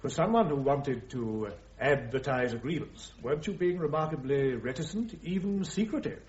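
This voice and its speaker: An actor playing a formal, coolly sceptical British military prosecutor in a radio drama, putting precise, pointed questions. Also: male voice, medium pitch, expressive, normal speed, darkish tone, lightly acted. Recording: roomy, dull old radio recording.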